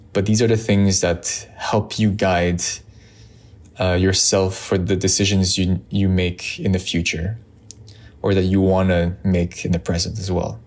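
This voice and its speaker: A man's voice: low voice, a little mellow. A man from Montreal whose first language is French, speaking with a Quebec French accent. He muses to camera in a loose, reflective way, with many ums and restarts. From Montreal, Canada. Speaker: vlogger. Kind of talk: reflective casual talk to camera.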